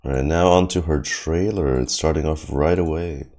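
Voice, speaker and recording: A man's voice: a bass voice, moderate pace, dark tone, soft background music. A man streaming casual reaction commentary on game trailers, slangy and profane with frequent exclamations.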